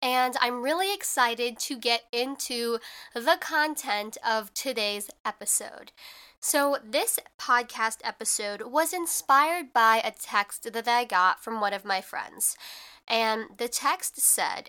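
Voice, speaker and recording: A woman's voice: on the high side, bright, forceful tone, smooth. A young woman hosting her podcast in casual chat, congested and a little nasal, talking in a chatty way with lots of "like". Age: young adult. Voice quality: more nasal than usual. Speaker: podcast host.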